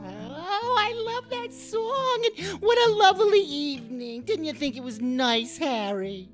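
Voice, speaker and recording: A woman's voice: high voice, lively intonation, measured pace. A woman playing a sweet, genteel lady guest in a scripted comic audio skit. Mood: pleased, chatty. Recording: loud music behind.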